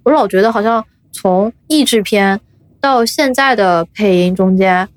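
A woman's voice: medium pitch, a little slow, dry recording. A woman hosting a podcast in casual, conversational chat. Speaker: podcast host.

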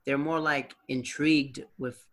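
A man interviewing a guest in a relaxed way, asking probing conversational questions. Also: medium pitch, a little slow. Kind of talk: casual interview.

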